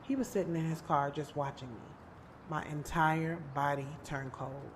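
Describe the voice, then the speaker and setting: A woman's voice: sits low, steady tone, moderate pace, slight background noise, natural voice. A woman playing a frank, defensive young woman who narrates her own love-triangle drama in an audio series, with music under her voice. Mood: reflective. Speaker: narrator and lead character. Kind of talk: audio drama acting with first-person narration. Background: background music.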